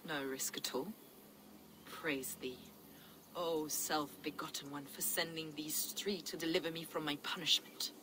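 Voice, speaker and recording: An actress playing an enigmatic, devout heretic woman in a video game, speaking in a measured, cryptic, archaic way. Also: low voice, steady tone. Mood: reverent. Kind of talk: dramatic video game acting. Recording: clear ambient noise behind.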